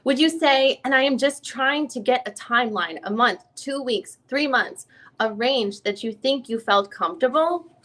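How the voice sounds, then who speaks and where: A woman acting as a female attorney with a fairly high voice, questioning a witness in a deposition reading. She is conversational and searching, feeling her way through each question and rewording it. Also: normal speed, a bit bright. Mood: probing. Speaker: reader playing a deposing attorney. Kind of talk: dramatic reading of a legal deposition.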